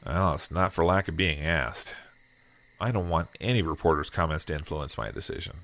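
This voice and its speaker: A man's voice: rumbling low, moderate pace, fairly bright tone. A man playing an earnest, self-doubting husband in an audio drama, with a hesitant, weary way of talking. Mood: troubled. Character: earnest, self-doubting husband burdened with a world-changing decision. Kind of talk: audio drama acting with first-person narration. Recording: noticeable background noise.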